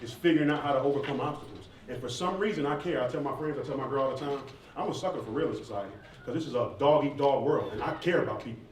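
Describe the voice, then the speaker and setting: A man's voice: medium pitch, racing, hardly any pauses. An American man from near Atlanta speaks candidly and casually in slangy phrases, answering questions at a live event. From southeastern United States, near Atlanta. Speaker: hip hop artist and former college athlete answering questions. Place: large echoey indoor room at a live event. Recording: echoey hall, distant mic, faint noise.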